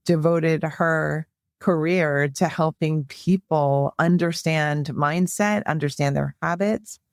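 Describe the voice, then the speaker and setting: Low-voiced woman hosting a podcast. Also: no echo, studio quality. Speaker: podcast host, psychologist. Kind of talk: podcast intro and interview.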